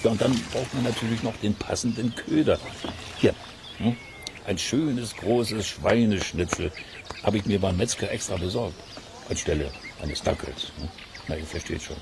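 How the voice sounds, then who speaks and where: A man's voice: lowish voice, normal speed, lightly acted. A man in a children's radio play playing a curious, chatty amateur monster hunter who muses aloud in hesitant asides. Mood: confiding, pleased. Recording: noisy cassette transfer.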